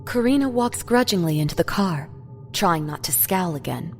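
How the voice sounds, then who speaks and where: A woman narrates a scripted dramatic story. Her voice sits a little low, and music is clearly heard beneath the reading. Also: lively intonation, normal speed.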